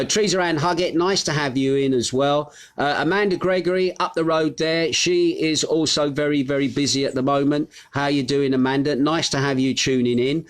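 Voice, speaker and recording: A middle-aged man from the United Kingdom hosting a live stream from his home, chatting casually and reading out viewers' names with plenty of fillers. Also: a little high. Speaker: host of a live news stream. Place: his home on a Greek island.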